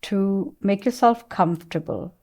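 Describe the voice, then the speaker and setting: A woman leading a guided meditation in a soothing, gentle manner, with long unhurried pauses. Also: medium pitch.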